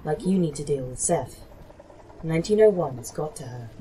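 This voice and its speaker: A woman playing a polite, formal A.I. in a sci-fi horror audio drama, measured and deadpan. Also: low voice, a little hiss or hum. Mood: insistent. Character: polite, literal-minded A.I. of secret facility. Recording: long hall reverb, faint background ambience.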